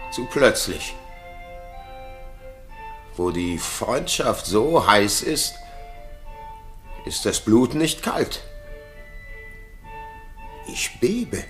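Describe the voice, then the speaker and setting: Jealous tormented king,, classical radio drama, halting theatrical delivery, slow, music behind. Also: fairly low pitch, sing-song, heavily acted. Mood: suspicious, agitated. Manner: theatrical, halting, brooding monologue. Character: brooding, jealous king, tormented husband and father. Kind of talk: classical German radio play acting.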